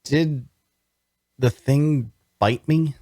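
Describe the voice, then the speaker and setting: A man's voice: medium pitch, very slow, warm tone, dry recording, clean studio sound. A man playing a wounded, bookish prisoner in an audio drama table read, wary and inquisitive, with a hesitant, questioning delivery. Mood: confused, alarmed.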